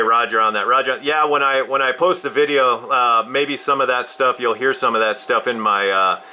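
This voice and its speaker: A man's voice: medium pitch, pushed and bright. A man chatting casually as a radio hobbyist, agreeable and a little hesitant in his phrasing. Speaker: radio hobbyist recording the contact. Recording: clear background radio noise and clicks.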